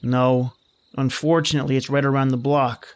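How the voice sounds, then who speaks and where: A man's voice: medium pitch, little pitch movement, normal speed, natural voice. A man playing a grumbling, slangy young fiancé in an old-time radio drama, with a wry, exasperated manner. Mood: exasperated, sardonic. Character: grumbling wisecracking young fiancé dreading his boss-lady's party. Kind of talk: 1930s radio drama acting.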